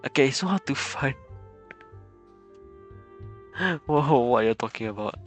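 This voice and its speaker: A man's voice: mid-range voice, animated, a little slow, soft background music. A man streaming a video game, chatting casually, laughing, and reacting on the fly. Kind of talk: casual live-stream commentary.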